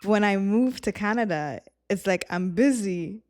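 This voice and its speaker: A woman's voice: mid-range voice, unhurried, dry recording, studio quality. A woman with a Trinidadian accent, a former youth pastor chatting casually as a podcast guest and telling stories with animation. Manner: animated storytelling, laughs often.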